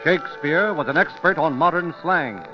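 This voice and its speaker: A man's voice: fairly high pitch, animated, moderate pace. A man announcing a radio show from a script in a 1940s broadcast style. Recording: dull vintage radio recording, music behind.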